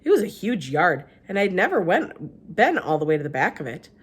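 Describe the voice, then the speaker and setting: A woman's voice: fairly low pitch, very animated, moderate pace, husky. A woman narrating a children's storybook aloud like a teacher reading to a class.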